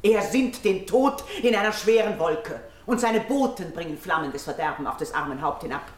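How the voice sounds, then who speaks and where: A woman's voice: fairly low pitch, expressive, moderate pace, close to an everyday voice. An actress playing a proud, principled priestess of royal birth, solemnly declaiming verse in a classical radio drama, with echo around her. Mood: firm defiance. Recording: roomy, echoing studio sound.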